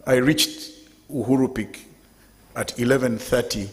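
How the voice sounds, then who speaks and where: A man in his fifties giving a lively, conversational talk to a live audience at an event, speaking to them directly. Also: medium pitch, measured pace. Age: 50s. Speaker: guest speaker. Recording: long hall reverb.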